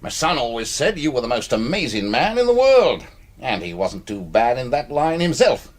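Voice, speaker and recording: An actor playing a pompous, needling older British colonel in a radio drama, with clipped, old-fashioned phrasing. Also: male voice, mid-range voice, sing-song, moderate pace, close to an everyday voice. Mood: hearty, theatrical.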